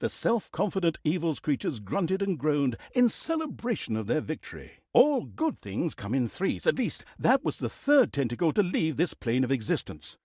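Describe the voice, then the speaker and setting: A sardonic male storyteller narrates a comic fantasy game with mock grandeur. His fairly high voice swings widely in pitch as he slips in teasing asides, and the sound is dry and close. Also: wildly swooping pitch, moderate pace, few pauses, darkish tone, husky, dry recording, heavily acted. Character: wry, mocking male storyteller of an evil fantasy campaign.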